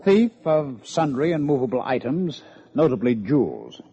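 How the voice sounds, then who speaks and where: An American actor playing a dry, needling police lieutenant with a sardonic manner in an old-time radio detective drama. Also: male voice, medium pitch, sing-song, normal speed, mellow, slightly rough. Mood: dry, curious. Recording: muffled old radio recording.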